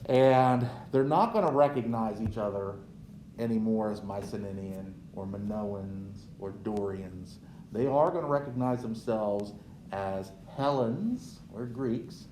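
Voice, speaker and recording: A man giving an unhurried history lecture as a teacher, checking in with "right?" as he goes. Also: lowish voice, a little mellow, reverberant, slight background noise, poor audio. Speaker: social studies teacher.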